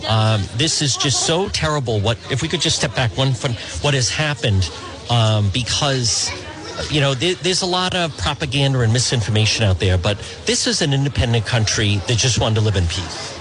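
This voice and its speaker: A man's voice: medium pitch, normal speed, a crowd in the background. A man with a New England accent, a radio host asking a volunteer earnest, rambling questions while outdoors at a border crossing. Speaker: radio host reporting from abroad. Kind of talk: on-the-street interview.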